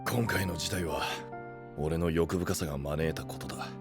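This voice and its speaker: Deep-voiced, gruff young knight. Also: male voice, fairly even intonation, moderate pace, music in the background. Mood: remorseful, subdued. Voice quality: deep, heavy voice. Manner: rough masculine speech. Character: gruff young male knight, earnest and self-reproaching. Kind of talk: anime-style voice drama acting.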